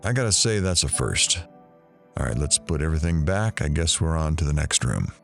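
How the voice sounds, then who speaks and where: Very deep-voiced, dry male investigator, played by an actor. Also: lively intonation, brisk, vocal fry, a bit bright, soft background music, full character voice. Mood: wry, matter-of-fact. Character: dry, sardonic male investigator searching rooms. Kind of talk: audio drama acting.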